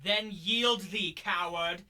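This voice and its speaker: A man's voice: high voice, slow, pushed and bright, full character voice. A young man playing a vengeful, righteous warrior nobleman in a Shakespearean radio play, declaiming in verse. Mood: scornful, triumphant. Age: young adult. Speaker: student actor.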